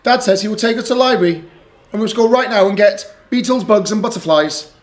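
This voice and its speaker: A man voicing an excitable, insistent little girl in a storybook reading. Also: natural voice. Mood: eager. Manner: high, eager, pleading, quick.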